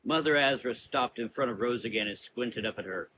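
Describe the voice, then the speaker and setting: A man's voice: medium pitch, brisk, bright, forceful tone, slight background noise. A man reading scripted gothic horror fiction as the narrator.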